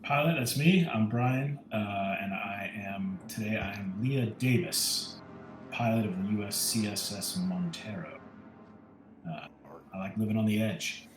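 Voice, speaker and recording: A man with a fairly low voice introduces his tabletop character in a casual, playful way. He sounds roomy and echoing, with music clearly behind him. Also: a little slow. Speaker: tabletop game player.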